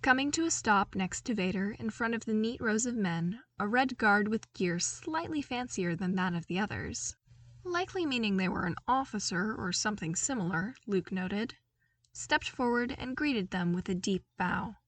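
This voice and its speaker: A woman narrating a story as a podfic reader, in an expressive, dramatic way with emphatic exclamations and pauses. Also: mid-range voice, no room sound, recorded from a distance. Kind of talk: expressive scripted fiction narration.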